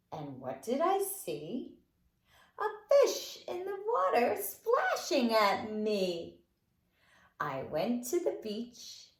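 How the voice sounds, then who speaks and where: A woman's voice: on the high side, a little mellow. A woman nursery school teacher leading children's circle time with rhymes and finger plays in a playful, encouraging, sing-song way. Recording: roomy, echoing indoor sound.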